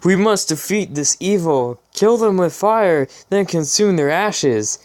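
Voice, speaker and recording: A man's voice: high voice, lively intonation, unhurried, a little mellow, pitched above the performer's own voice. A man voicing a fierce, bloodthirsty young female cartoon pony, declaiming like a battle leader in a story reading. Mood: fierce, determined.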